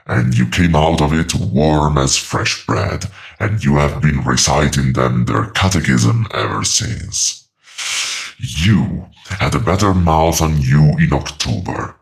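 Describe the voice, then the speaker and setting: A man playing an ancient, patient demonic entity in a horror audio drama, speaking with calm, mocking, deliberate menace. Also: extremely low pitch, gravelly, heavily acted. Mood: sardonic.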